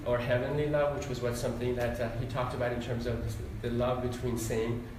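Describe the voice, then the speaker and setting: A man born in Iran and raised in Ohio, with a Midwestern accent, giving a relaxed, rambling interview answer full of fillers. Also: mid-range voice, a little fast, noisy background. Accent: Midwestern American. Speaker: interview guest, doctor and psychologist. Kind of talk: casual conversational interview. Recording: very echoey room, mic at a distance.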